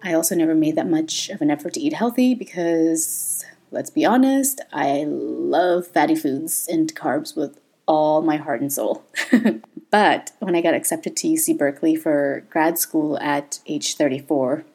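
Low husky woman in her thirties. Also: expressive, unhurried, dark tone, faint music behind. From Thailand. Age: 30s. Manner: candid, laughs often. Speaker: podcast host. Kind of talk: personal podcast monologue.

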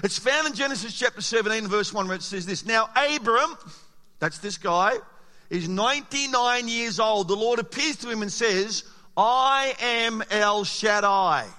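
A man preaching a sermon as pastor, animated and emphatic, asking the congregation rhetorical questions, his voice a little raspy. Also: high-pitched, measured pace. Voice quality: slightly raspy. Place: church hall. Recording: long hall reverb.

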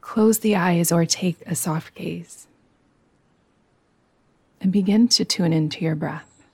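A woman guiding a yoga nidra meditation in a soothing, gentle, unhurried manner. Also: on the low side, gravelly, husky, dry recording. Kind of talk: guided meditation.

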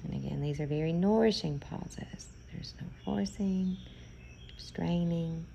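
A woman guides a meditation at a very slow, gentle pace, leaving pauses between phrases. Her voice is soft-edged and mellow, with a noticeable creak. The style is soothing instruction, unhurried throughout. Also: on the low side, a little hiss or hum.

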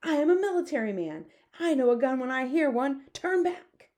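A woman voicing a terrified, excitable male army chief who exclaims anxiously in a storybook. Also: high voice, normal speed, voice pushed up. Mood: panicked.

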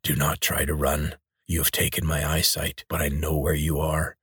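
A man voices a blind, menacing warrior leader from a storybook, his rasping voice sitting very low. He speaks quietly with cold threat, and the recording is dry and close. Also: little pitch movement, normal speed, no echo, heavily acted.

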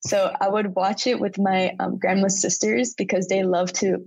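Husky young American woman, chatty. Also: mid-range voice, flat delivery, normal speed, darkish tone, dry recording, low-quality recording. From United States. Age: young adult. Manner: chatty, laughs often between remarks. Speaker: interviewee. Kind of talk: casual conversational interview.

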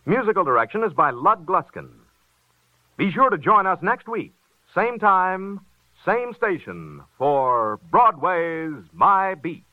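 A man announces in old-time radio style. His voice is on the high side and moves with lively intonation. The broadcast recording is thin, with music underneath. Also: takes it slowly, phone-quality sound. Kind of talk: 1940s scripted radio announcing.

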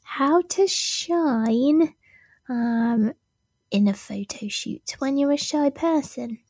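A woman hosting her own podcast, talking casually and self-deprecatingly, with plenty of ums, while her voice has gone a little deep and husky. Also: slow, darkish tone, no echo. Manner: self-deprecating, many ums, laughs at herself. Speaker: podcast host. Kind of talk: casual solo podcast talk.

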